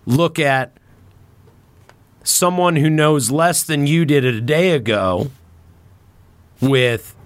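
A man's voice: fairly high pitch, slow pace, pauses often, smooth, no room sound. A man talking casually on a podcast as a host, opinionated and emphatic in how he makes his points.

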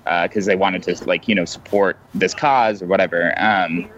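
A man's voice: sits low, fairly even intonation, normal speed, a bit bright. A man in casual, informal conversation on a podcast, with loose, hedging phrasing, on a lo-fi recording. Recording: dull lo-fi sound, faint noise.

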